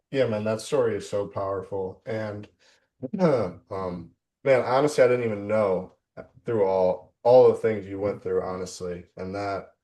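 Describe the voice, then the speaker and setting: A young man with a dark, relaxed voice on the low side hosts a casual interview. He talks informally, dropping in plenty of buddy-like slang. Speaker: interview host. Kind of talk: casual chat.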